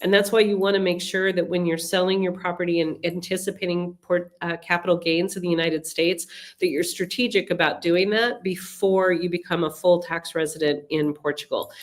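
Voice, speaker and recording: Woman, middle-aged, slightly low voice, has a cold, sniffly, live webinar host, chatty, explanatory, lots of ums. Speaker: relocation advisor hosting a Q&A. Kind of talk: live webinar Q&A, answering viewer questions. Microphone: computer mic on a webinar call.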